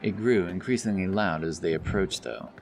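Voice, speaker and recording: A man's voice: deep voice, moderate pace, slight background noise. A man narrating a scripted fantasy audiobook as storyteller. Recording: narration over loud background music. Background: music, outdoor sound effects.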